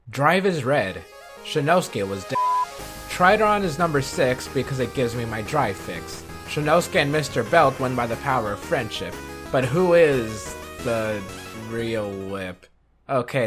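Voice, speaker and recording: Male video host, mid-pitched, scripted list commentary with dry self-mocking asides, music underneath. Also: lively intonation, moderate pace. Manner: wry, self-deprecating asides.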